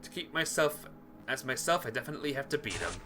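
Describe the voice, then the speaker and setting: A hot-blooded young male brawler, as a man reads him out from a visual novel, with sharp, forceful tone and wide swings in pitch. He is brooding and combative, and every line is delivered theatrically. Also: very animated, quick pace, faint music behind. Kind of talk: dramatic first-person read-aloud of a visual novel.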